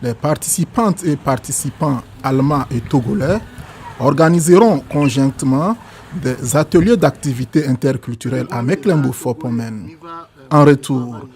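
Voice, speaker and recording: A man reading a scripted documentary narration in a gravelly voice. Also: medium pitch, moderate pace, darkish tone, slight background noise. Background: music.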